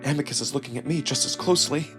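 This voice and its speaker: A man narrating a first-person story dramatically, with expressive, deliberate pauses. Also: mid-range voice. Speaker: narrator and performer. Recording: music behind the voice. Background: music.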